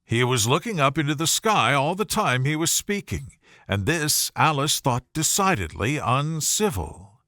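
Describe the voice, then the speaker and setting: A man narrates a storybook in a measured, expressive way. His voice sits a little high and moves with very animated pitch. The tone is bright and forceful, recorded very dry. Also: no echo. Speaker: audiobook reader.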